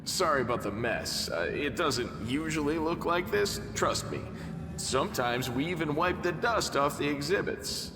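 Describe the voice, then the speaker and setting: Game role: cocky young mongrel tribesman, high lively voice, sardonic joker, echoing hall, music behind. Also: moderate pace. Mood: wry, joking. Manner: flippant, sardonic, joking. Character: wry young male mongrel underground tribesman, cocky and eager. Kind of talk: video game voice acting. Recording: echoey hall reverb. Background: background music.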